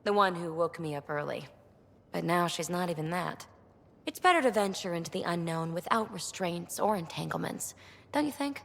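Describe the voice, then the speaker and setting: An actress playing a sly, secretive loner explorer in a video game, wry, teasing and evasive. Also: female voice, lowish voice, moderate pace, creaky. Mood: wry, breezy. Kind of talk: video game dialogue acting. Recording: long reverberant hall sound.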